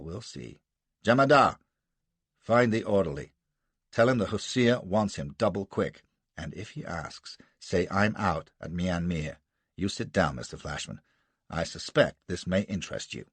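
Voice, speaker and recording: Brief: man playing a roaring, scornful American colonel, deep husky voice, lively swings. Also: unhurried, no echo, exaggerated voice. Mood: blustering. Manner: barking, scornful, booming outbursts. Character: gruff brawling American colonel, blustering.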